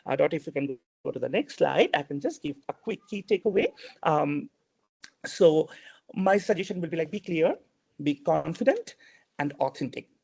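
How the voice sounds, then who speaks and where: A man in his thirties from Bangladesh, not a native English speaker, talking through tips as a webinar guest. He speaks in an animated way, with lots of "like" and "um" and frequent restarts. His voice sits high for a man and has a gravelly fry. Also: normal speed. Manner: animated, many fillers and restarts. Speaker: award-winning alumnus guest speaker. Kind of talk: webinar talk, casual advice.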